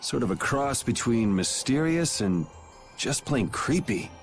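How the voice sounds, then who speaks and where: A medium-pitched young swordsman in an anime game. He is cool and sardonic, with very wide swings of pitch and music underneath. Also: very animated, a little slow. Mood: dry, casual. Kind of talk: anime video game dub acting.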